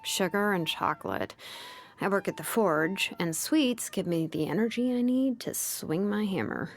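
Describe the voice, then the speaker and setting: A woman voicing a laid-back, sleepy young female blacksmith and name engraver from a video game, languid and nonchalant with soft hesitations. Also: medium pitch, lively intonation, measured pace. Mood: relaxed, casual. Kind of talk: video game character voice lines. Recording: music behind the voice. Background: music.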